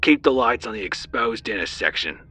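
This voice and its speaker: A man's voice: medium pitch, expressive, moderate pace, pushed and bright, slightly rough, pitched above the performer's own voice. A man voicing a serious male dive team leader who gives commands. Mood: commanding.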